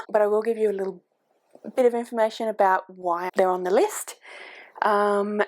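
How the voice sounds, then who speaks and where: A woman in her forties chatting casually at home about books, rambling with frequent ums and self-corrections. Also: mid-range voice. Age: 40s. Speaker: book YouTuber. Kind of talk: casual booktube chat. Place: home, with a cat on the microphone. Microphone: desk microphone.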